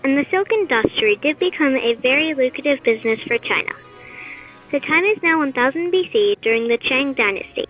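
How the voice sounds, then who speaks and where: A woman's voice: sits high, expressive, fairly quick, faint music behind. A woman reading scripted narration for an audio play. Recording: tinny, phone-like band-limited audio.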